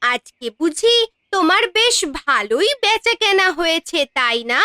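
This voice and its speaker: Cartoon village housewife, squeaky-high and bright, slow drawn-out lines, music behind. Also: very animated, slight background noise, heavily acted. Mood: caring, curious. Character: fisherman's caring, worried village wife. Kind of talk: cartoon voice acting.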